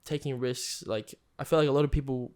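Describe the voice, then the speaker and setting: A young man co-hosting a podcast, chatting casually, relaxed and curious, with lots of "like"s. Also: medium pitch, fairly quick, clean tone. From Australia. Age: young adult. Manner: asks questions, relaxed, heavy use of "like". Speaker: podcast co-host. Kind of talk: casual chat.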